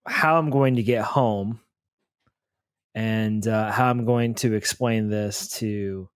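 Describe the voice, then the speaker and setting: Low, dry-sounding man improvising at the table. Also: smooth, dry recording. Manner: halting, thinking aloud. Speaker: player in a tabletop role-playing game. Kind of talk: casual improvised in-character chat.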